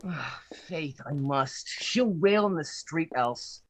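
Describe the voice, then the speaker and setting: A man's voice: fairly high pitch, expressive, slow pace, fairly bright tone, slight background noise. A man playing a cajoling lieutenant and lover in a Shakespearean radio drama.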